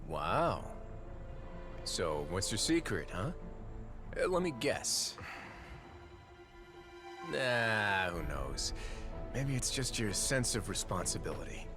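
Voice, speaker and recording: A man's voice: on the low side, big pitch swings, takes it slowly, long pauses, over music. An actor voicing a wry, confident, easygoing man with casual, teasing delivery in a video game. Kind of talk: game voice acting.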